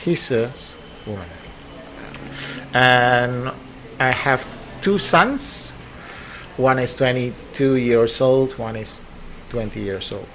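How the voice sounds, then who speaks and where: A man in his fifties with an Indonesian accent, a guest speaking English in a podcast interview, halting with many fillers and a friendly, joking manner. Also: medium pitch, a bit bright, slight background noise, poor audio. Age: 50s. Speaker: guest, air force base commander.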